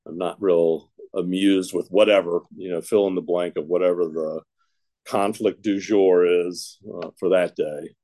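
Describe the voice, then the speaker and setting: Rambling, self-mocking man with a lowish voice. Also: fairly even intonation, a little slow, dark tone. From Ohio, United States. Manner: rambling, self-deprecating, many fillers. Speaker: speaker sharing a recovery story. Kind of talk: casual personal storytelling.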